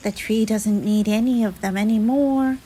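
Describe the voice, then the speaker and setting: A woman's voice: medium pitch, normal speed, dark tone, slightly rough, natural voice. A woman voicing a cheerful, carefree squirrel in a storybook, delighted and breezily dismissive. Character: scampering squirrel, cheerful, brisk, carefree leaf gatherer.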